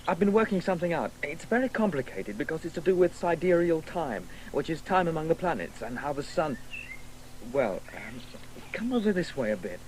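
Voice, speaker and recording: Pedantic, haughty boy, animated and high. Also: brisk, slight background noise, poor audio. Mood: bossy, eager. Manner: pedantic, condescending explaining. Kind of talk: 1980s radio drama acting.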